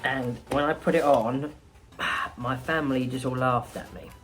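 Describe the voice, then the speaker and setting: A woman, a school principal reading a storybook aloud expressively, with pauses and small asides. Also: a bass voice, soft background music. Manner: unhurried, expressive, pausing.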